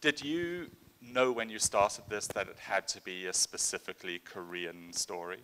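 A man's voice: fairly low pitch, moderate pace, sharp tone, a little hiss or hum, poor audio. A man moderating a film Q&A on a cinema stage, asking polite, enthusiastic questions into a handheld mic. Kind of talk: prepared interview questions. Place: cinema stage with seated panel.

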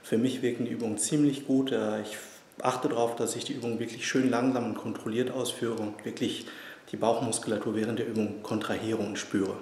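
A man's voice: medium pitch, a little fast. A man in his thirties, a personal trainer, casually touring his small gym and talking to viewers as friends. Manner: relaxed, chatty, addressing viewers as friends. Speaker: personal trainer and fitness vlogger. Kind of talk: casual vlog tour and update. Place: small private gym, indoors. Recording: echoey room with faint background hum.

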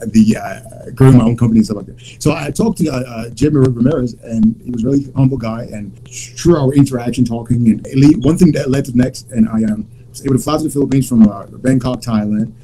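Man, from Liberia, video call guest, very dark mellow tone, rambling with fillers, faint line noise. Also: medium pitch, quick pace, low-quality recording. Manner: rambling, repeats words, many fillers. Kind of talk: casual video call talk. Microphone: laptop webcam on video call.

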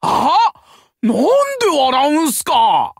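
A man's voice: very high-pitched, very animated, slow pace, breathy edge, no echo, studio quality, exaggerated voice. A man voicing an eager, cheerfully cocky young tiger-man hero in a mobile game, with bouncy junior-style casual speech. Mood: playfully boastful. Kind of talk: Japanese mobile game character acting.

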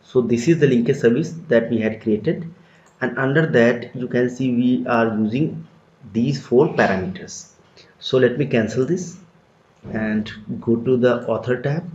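A man giving a steady step-by-step screen-recorded software tutorial, repeating phrases as he explains. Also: medium pitch, a little slow. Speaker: tutorial instructor. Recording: dull lo-fi roomy sound.

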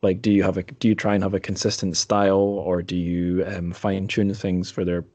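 Deep-voiced man hosting, very dry sound. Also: level tone, normal speed, dark tone, no echo. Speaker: podcast host. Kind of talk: casual interview conversation.

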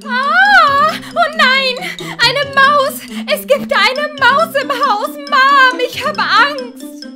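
Woman as a shrill, spoiled young stepsister. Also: extremely slow, heavily acted. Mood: panicked. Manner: whiny, shrill. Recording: music under the voice.

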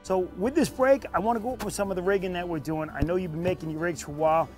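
A man's voice: sits high, very fast, faint music behind. A middle-aged man hosting a fishing show from a small boat at sea, explaining techniques in a casual, friendly way. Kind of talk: casual on-camera fishing talk.

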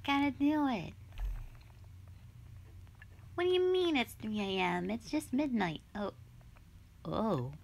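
A young woman playing a sulky, dramatic tsundere gamer girlfriend in an audio roleplay, with a whiny, pouty, drawn-out delivery. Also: on the high side, very animated, gravelly, a little mellow, a little hiss or hum, heavily acted. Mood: sheepish. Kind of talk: roleplay audio drama, intimate one-sided dialogue.

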